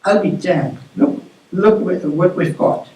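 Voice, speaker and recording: A wry working fisherman as an older man reads him from a short story, grumbling in halting, colloquial phrases. The voice is a little high for a man. It rings in a very echoey room, away from the microphone. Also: darkish tone, far from the mic, slight background noise. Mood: disgusted amazement.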